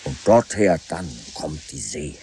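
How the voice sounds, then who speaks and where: An actor playing a brooding, lonely wandering man in a halting, despairing radio drama monologue. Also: deep voice, creaky, warm tone, slight background noise, exaggerated voice, rough recording. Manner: halting, brooding, fragmented phrases.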